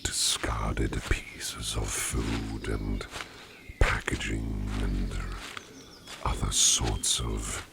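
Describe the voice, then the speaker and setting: A man voicing a gentle sleep demon in human disguise, speaking formally and haltingly in a breathy near-whisper for an ASMR roleplay. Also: extremely low pitch, monotone, full character voice. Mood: puzzled. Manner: slow, halting, formal phrasing. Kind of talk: ASMR roleplay, personal attention. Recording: noisy, outdoor nature sounds behind.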